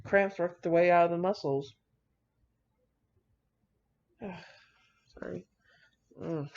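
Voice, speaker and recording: A woman's voice: lowish voice, takes it slowly, a little mellow. A woman reading and reacting to fan fiction in a casual, brash, slangy and dramatic way. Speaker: video creator reading fan fiction aloud with commentary. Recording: dull lo-fi audio.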